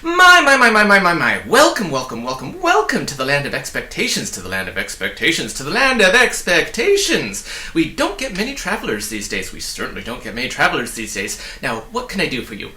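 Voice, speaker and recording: A man voicing an effusive, flustered little man from a storybook, excitable and blustering, repeating himself as he goes. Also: a little high, a little fast, few pauses, echoey room, heavily acted. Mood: gushing welcome. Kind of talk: storybook character voice.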